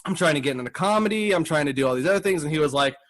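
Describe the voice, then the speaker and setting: A man's voice: a little high, lively intonation, racing, a bit bright. A man hosting a comedy podcast, chatting casually and acting out his stories with exaggerated voices.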